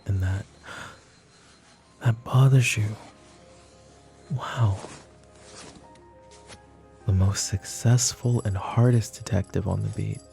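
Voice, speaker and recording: Guy doing a cocky mafia boss, deep and slow, teasing the detective, faint music behind. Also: creaky, mellow, heavily acted. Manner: teasing, taunting, calls her pet names. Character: smug flirtatious male mafia boss under questioning. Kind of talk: roleplay ASMR.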